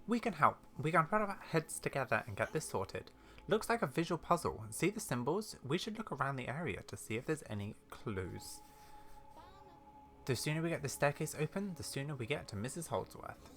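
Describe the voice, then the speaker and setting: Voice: medium pitch, very animated, a little fast, fairly bright tone. A performer voicing an eager, helpful young heroine with an encouraging, practical manner.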